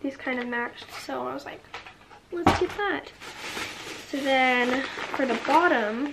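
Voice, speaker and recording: A young woman vlogging casually to her viewers, her voice raspy from a sore throat, filming on a handheld camera. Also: sits high, measured pace, very noisy. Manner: chatty, upbeat, talks to viewers. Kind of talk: casual vlog chat. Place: car, bedroom, outdoors. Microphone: handheld camera at arm's length.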